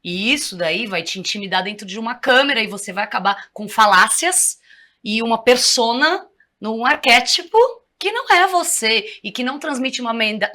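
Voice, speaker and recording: A woman's voice: fairly high pitch, bright, forceful tone. A Brazilian woman who mentors in communication, explaining things as a guest on a talk show in a didactic, teacherly way. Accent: Brazilian Portuguese.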